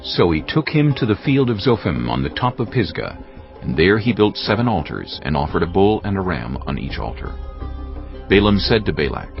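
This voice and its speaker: A man's voice: deep voice, big pitch swings, moderate pace, gravelly. A man reading scripted narration for a dramatised audio Bible. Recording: dull audio under loud music.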